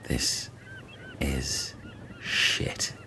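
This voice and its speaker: A man voicing a dejected castaway in an audio comedy, speaking in a halting, drawn-out, solemn way. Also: noisy background. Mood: gloomy, deflated. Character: gloomy, dejected castaway man with dramatic slow delivery.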